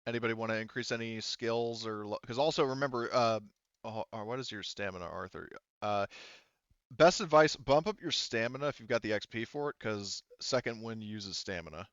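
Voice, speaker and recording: A man's voice: fairly low pitch, a little fast, fairly bright tone, clean tone, no room sound. Man running a game, dull dry audio. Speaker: game master. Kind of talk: casual talk running an online tabletop roleplaying game.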